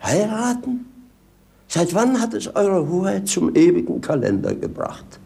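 Sly cheeky servant in a radio play, gravelly, swoops up and down, mock pompous and ironic. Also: male voice, high voice, sing-song, measured pace, background noise, full character voice. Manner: ironic, declamatory, mock-formal. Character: cheeky, earthy comic male servant, mock-pompous and scheming. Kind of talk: stage-style German radio drama acting.

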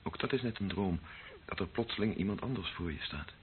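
A man acting an earnest, defiant priest in a Dutch radio play, speaking deliberately. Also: deep voice, natural voice. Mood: tender. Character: defiant, earnest priest, passionate about earthly love. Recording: noisy, narrow phone-like old radio recording.

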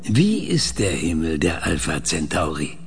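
A man acting in a radio play as a calm, controlling scientist with a husky, gravelly voice and a measured, deliberate way of talking. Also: deep voice, faint music behind, heavily acted. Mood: calm, probing. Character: calm, controlling male scientist interrogating an artificial mind. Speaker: radio drama actor.

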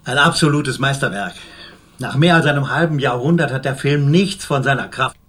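Guy as smooth-talking celebrity lawyer on tv, self-assured, mid pitch, radio play. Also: moderate pace, fairly bright tone, lightly acted. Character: self-assured, polished star lawyer as television guest. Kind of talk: broadcast interview within radio drama.

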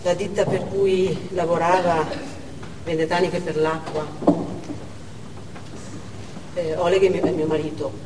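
A woman's voice: lowish voice, little pitch movement, takes it slowly, pauses often, recorded from a distance. An actress playing an anxious Russian wife in a stage monologue, telling her story with explanatory asides. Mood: worried. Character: anxious Russian wife recounting her husband's dangerous trip. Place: theatre stage, distant microphone. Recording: noisy, echoey theatre hall from afar.

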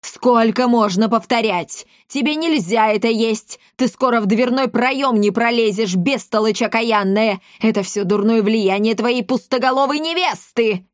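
A woman's voice: medium pitch, expressive, normal speed, few pauses, no echo, studio quality, natural voice. A woman voicing a tiny old mother in a storybook, domineering, scolding and theatrically outraged.

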